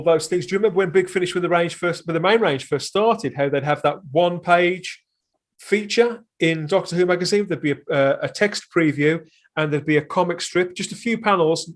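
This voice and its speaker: A man's voice: a little high, fairly quick. A man chatting casually as a panellist on a podcast, talking in an informal conversational style.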